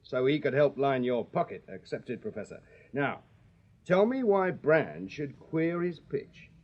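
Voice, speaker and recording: An actor playing a shrewd Victorian police sergeant in a radio drama, English, clipped and plain-spoken with a drily insolent deference. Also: male voice, mid-range voice, sing-song, a little slow. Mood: knowing, accusing. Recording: slightly dull radio recording.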